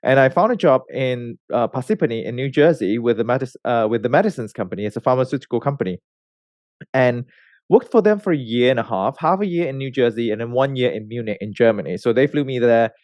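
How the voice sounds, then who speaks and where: A man in his thirties from Malaysia, a research scientist telling his career story in a relaxed way, with many fillers and restarts. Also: medium pitch, fast talker, no echo, studio quality. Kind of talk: conversational interview answers.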